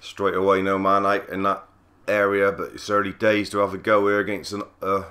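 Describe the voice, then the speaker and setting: A man with a cold, husky and croaky, giving easygoing play-by-play commentary on a cricket video game. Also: deep voice, completely flat delivery, a little fast, sharp tone. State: hoarse from a cold. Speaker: gaming channel host.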